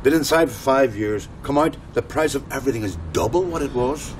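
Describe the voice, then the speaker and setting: An actor playing a polite, down-to-earth ex-convict with a clipped way of talking, in a naturalistic radio drama. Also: male voice, a little fast, natural voice. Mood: bemused. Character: plain-spoken, polite ex-convict hitching a lift. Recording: noisy car interior, vehicle rumble. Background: moving vehicle noise.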